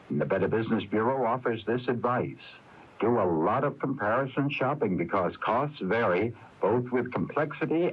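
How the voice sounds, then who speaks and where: A man's voice: on the low side, breathy edge. A man reading a scripted public service announcement for radio in an emphatic, advice-giving manner. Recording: dull lo-fi AM radio tape.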